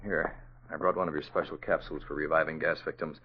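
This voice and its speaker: An actor playing a composed, commanding publisher who is secretly a masked vigilante, in 1940s radio style. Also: male voice, rumbling low, little pitch movement, fast talker, noisy background. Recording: muffled vintage radio recording.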